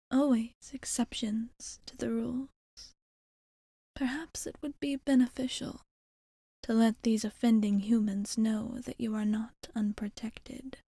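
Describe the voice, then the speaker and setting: A woman voicing a composed, protective vampire bartender in a soft, formal ASMR roleplay, half-whispered close to the microphone. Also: on the high side, dry recording, heavily acted. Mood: protective, resolute. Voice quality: soft, breathy, often near a whisper. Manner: formal, measured, hesitant wording. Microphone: close microphone, dry sound.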